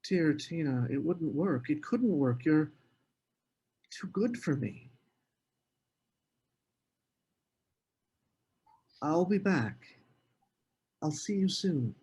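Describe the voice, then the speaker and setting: An actor playing an anxious, evasive gentleman lodger, polite and formal, in a screenplay table read. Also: a little high, lively intonation, slow, long pauses, slightly rough, heavily acted. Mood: gently refusing.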